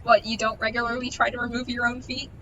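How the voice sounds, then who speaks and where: A woman's voice: little pitch movement, fast talker, highs cut off, crowd noise. A woman giving casual live gameplay commentary, playful and wry, with mock-menacing asides. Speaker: game streamer. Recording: noisy, game rumble behind. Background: video game sounds.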